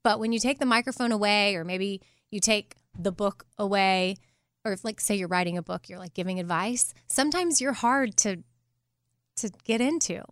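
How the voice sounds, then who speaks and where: Woman, podcast interviewer. Smooth clear tone, dry close sound, encouraging and slightly tentative. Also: medium pitch, moderate pace, fairly bright tone, no echo. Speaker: podcast host interviewing a guest. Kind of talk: casual conversational interview.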